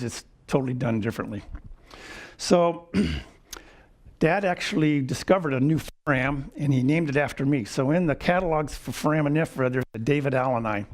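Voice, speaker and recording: A man in his seventies giving a lecture with personal stories, wry and chuckling, often clearing his throat. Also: a little high. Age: 70s. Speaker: guest lecturer. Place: lecture hall.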